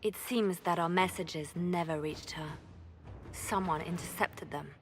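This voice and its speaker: A shrewd woman spymaster played by an actress in a fantasy game. She lays out intrigue in a measured, secretive way, her voice on the low side with faint music underneath. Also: natural voice. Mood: shrewd, serious. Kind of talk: dramatic intelligence briefing.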